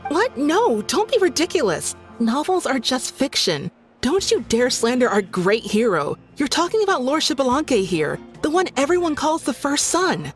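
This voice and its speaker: A woman playing a spirited, feisty young townswoman in a video game, with a brisk, scoffing manner. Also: sits high, very animated, faint music behind. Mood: indignant. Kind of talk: video game character acting.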